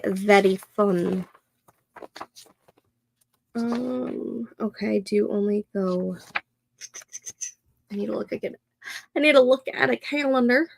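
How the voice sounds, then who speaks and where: A woman in her thirties hosting a casual co-working stream, chatting slowly and a little nasally as she works through her planner. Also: on the high side, vocal fry, darkish tone, poor audio. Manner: slow, chatty, talks to herself while setting up a planner. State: congested, a bit nasal. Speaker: co-working livestream host. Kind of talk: casual chat while working.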